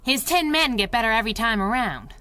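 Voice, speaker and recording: An actress playing a tough, wisecracking young masked sidekick in a 1930s-style radio adventure, brash and sardonic. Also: female voice, fairly high pitch, lively intonation, fairly quick, sharp tone. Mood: brisk, hard-boiled.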